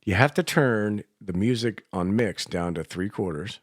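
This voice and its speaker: Low-pitched man in casual, close dry chat. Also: measured pace, dry recording. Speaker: radio show host.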